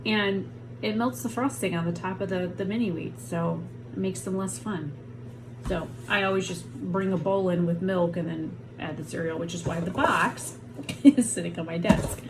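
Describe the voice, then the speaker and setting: A frank, rambling woman talks to her camera from home while she is in pain, and her voice sits a little low. The home recording carries a clear layer of background noise under her talk. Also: moderate pace. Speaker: vlogger. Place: at home on a heating pad.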